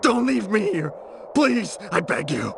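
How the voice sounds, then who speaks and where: A gravelly male voice drags slowly through heavy noise. It is a wounded, frightened pilot in an adventure game, weak, pained and despairing. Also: sits high, big pitch swings, heavily acted. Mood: panicked pleading. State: in pain, weak. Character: injured, terrified, despairing male plane crash pilot. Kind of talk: video game voice acting.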